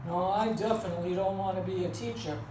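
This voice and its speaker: A woman's voice: fairly low pitch, steady tone, fast talker, dark tone, pitched below the performer's own voice, close to an everyday voice. A woman voicing an easygoing, reluctant boy puppet in a children's show, hesitant and drawling. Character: slow, reluctant, easygoing boy puppet who wants play. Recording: roomy, distant mic, faint noise.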